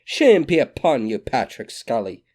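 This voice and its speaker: A man's voice: mid-range voice, sing-song, measured pace, a little mellow. A man voicing a sternly reproachful mother who cries out in scolding. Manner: crying out reproachfully.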